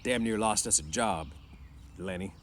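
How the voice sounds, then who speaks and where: A man voicing a bossy, defensive, quick-tempered ranch hand in a storybook read-aloud, with rough, slangy, scolding talk. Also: on the low side, a little hiss or hum, natural voice. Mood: scolding, irritated.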